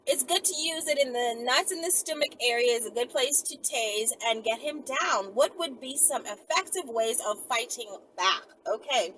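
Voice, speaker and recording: Animated, high-pitched woman chatting sassily. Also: normal speed, few pauses, a little hiss or hum, poor audio. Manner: animated, sassy, digressive, interjecting. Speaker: host of her own video channel. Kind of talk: casual chat to viewers, reading out messages with commentary.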